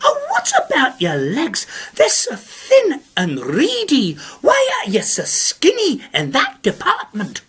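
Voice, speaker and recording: A woman voicing a bold little old lady who scolds and questions in a high, indignant voice, told live to an audience. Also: wildly swooping pitch, slow pace, hardly any pauses, fairly bright tone, exaggerated voice. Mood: nosy teasing. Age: older. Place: live storytelling session with an audience.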